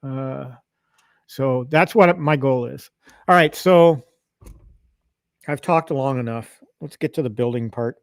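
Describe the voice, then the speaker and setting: A man's voice: medium pitch, slow pace, gravelly, pauses often, no echo, clean studio sound. A middle-aged man hosting a casual livestream from his home arcade room, rambling with many restarts. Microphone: lavalier mic.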